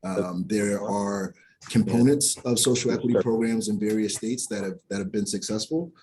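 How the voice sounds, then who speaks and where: Male advocate, public testimony: earnest, halting delivery, lower-pitched and warm. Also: steady tone, slight background noise, poor audio. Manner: earnest, many filler words and restarts. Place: public hearing.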